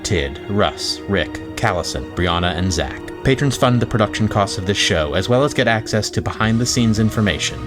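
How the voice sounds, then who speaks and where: A man announcing scripted closing credits for an audio drama. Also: fairly low pitch. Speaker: announcer reading show credits. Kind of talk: scripted narration. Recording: prominent music behind.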